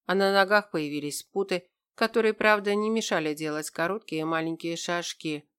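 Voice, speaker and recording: A woman's voice: lowish voice, moderate pace, fairly bright tone, clean tone. A woman reading a scripted first-person fantasy story as an audiobook narrator.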